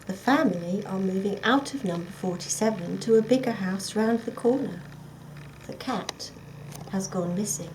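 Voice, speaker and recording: A woman reading a children's story aloud as a scripted narration, in an expressive, dramatic storytelling manner. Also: medium pitch, normal speed, a little hiss or hum, poor audio. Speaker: storybook reader.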